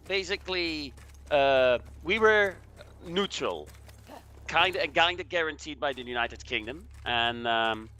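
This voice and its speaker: Bright, halting man from Belgium. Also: on the high side, slight background noise. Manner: halting, hesitant, often repeating words. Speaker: guest co-commentator. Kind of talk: casual explanatory chat.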